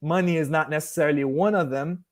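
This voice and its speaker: A man in his early thirties who grew up in Haiti and Miami speaks with a fairly high voice. He hosts a casual live stream, chatting to viewers in a loose, rambling style. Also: fast. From Haiti, moved to Miami at fourteen. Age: early 30s. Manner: rambling, loses and regains his thread. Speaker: vlogger hosting a live stream. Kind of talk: casual chat, answering live comments.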